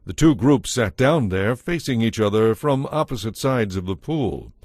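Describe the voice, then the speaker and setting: A man's voice: fairly low pitch, sing-song, moderate pace, a little mellow, no echo, clean studio sound. A man narrating a dramatized audio Bible as a scripted storyteller.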